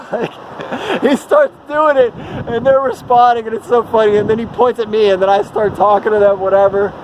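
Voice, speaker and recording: A male travel vlogger with a quite high voice rambles through a personal story. He is casual and slangy, acting out the dialogue as he goes. There is clear outdoor or vehicle noise behind him. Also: low-quality recording. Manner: animated, slangy, acts out dialogue.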